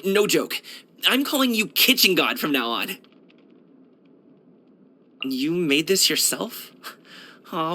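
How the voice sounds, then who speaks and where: A man's voice: sits high, sharp tone, exaggerated voice. A man voicing a brash, cheerful young martial arts dancer and guard in a video game, chatting casually in a slangy, boyish, self-assured way. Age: young adult. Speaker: video game character voice actor. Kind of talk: casual, conversational game voice lines.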